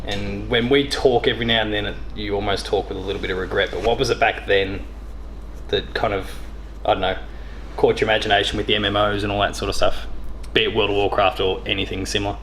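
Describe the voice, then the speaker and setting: A man's voice: lowish voice, little pitch movement, fast talker, a bit bright, a little hiss or hum, rough recording. A man hosts a casual podcast interview, speaking informally with roundabout phrasing.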